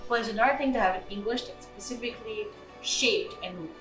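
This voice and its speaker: A woman interviewee speaking in a deliberate way, searching for words. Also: fairly high pitch, a bit bright, far from the mic, soft background music. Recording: roomy, off-mic.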